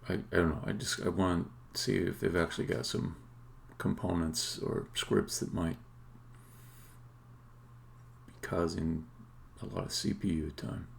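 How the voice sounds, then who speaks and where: Male game developer streamer, deep and heavily creaky, casual muttered think-aloud, hesitant phrasing. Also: flat delivery, slight background noise. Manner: halting, muttering to himself while working. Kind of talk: casual think-aloud live-coding commentary.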